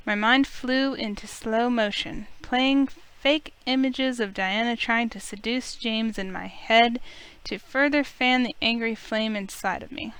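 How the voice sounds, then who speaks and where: A woman's voice: on the high side, a bit bright, smooth, poor audio. A woman reading a game heroine's first-person narration aloud as a let's player, in a dramatic storytelling style.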